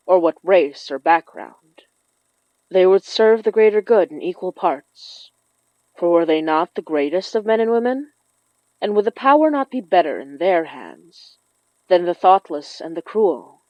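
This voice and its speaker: Female horror narrator, very slow and deliberate, dramatic pauses, dull and roomy sound. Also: lowish voice, animated. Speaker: narrator of horror fiction podcast. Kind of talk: scripted dramatic story narration.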